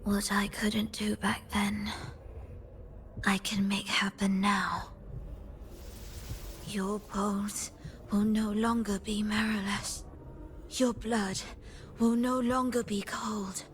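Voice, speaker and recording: Woman playing a slow, hushed, solemn conductor. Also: mid-range voice, level tone, slight background noise, natural voice. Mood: solemn resolve. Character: grieving, obsessive woman conductor, solemn and otherworldly.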